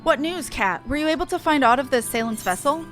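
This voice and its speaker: An earnest fantasy-game airship engineer, voiced by a woman, with a fairly high voice and wordy, old-fashioned turns of phrase. The lines are read as game dialogue over music. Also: lively intonation, quick pace, a bit bright. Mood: inquisitive.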